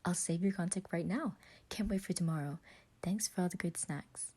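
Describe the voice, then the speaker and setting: A woman's voice: fairly low pitch, normal speed, dark tone, husky. A woman voicing a polite man in a story, with formal, precise, rather robotic phrasing.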